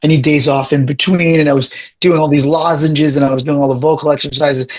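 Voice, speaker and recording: A man with a raspy voice, talking animatedly as a podcast guest, with lots of restarts and quick bursts. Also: on the high side, fairly even intonation, darkish tone. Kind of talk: casual interview chat.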